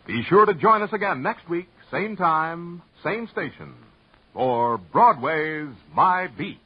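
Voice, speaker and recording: A man's voice: on the high side, lively intonation, slow pace, a little hiss or hum. An American man working as a network announcer, reading scripted copy in a polished, emphatic 1950s radio style. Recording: dull old radio broadcast, music behind.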